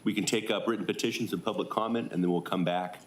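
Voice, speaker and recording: A man's voice: deep voice, steady tone, quick pace. A man chairing a meeting as mayor in an informal, unscripted style. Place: indoor council chamber. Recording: echoey meeting room, faint background noise.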